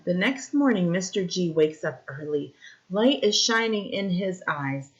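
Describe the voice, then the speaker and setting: A woman with a slightly low voice reads a story to young children in a teacher's style. She explains things in simple terms and keeps stopping to ask questions. The recording sounds a little dull and lo-fi. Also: lively intonation, a little slow. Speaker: teacher reading a picture book aloud.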